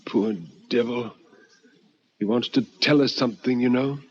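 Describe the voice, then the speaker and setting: A man voicing a shaken but compassionate male companion in a radio ghost story, speaking in a hushed, halting, earnest way. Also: medium pitch, steady tone, husky, muffled recording. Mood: hushed pity.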